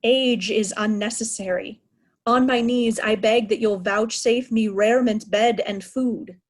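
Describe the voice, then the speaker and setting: A woman's voice: a little high, steady tone. A woman playing a proud aging king, raging at ungrateful daughters in a declamatory Shakespearean style. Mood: bitter sarcasm. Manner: declamatory, deliberate, anguished. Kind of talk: Shakespearean reader's theatre acting.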